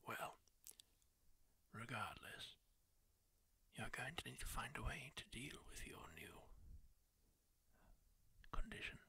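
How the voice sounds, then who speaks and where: A man plays a kindly masked wanderer, tending a wounded stranger in a soft ASMR whisper. The voice is gravelly and breathy, drawn out slowly, yet it lilts up and down with gentle, musing asides. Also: mid-range voice, dry recording, heavily acted. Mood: soothing. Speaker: ASMR roleplay creator.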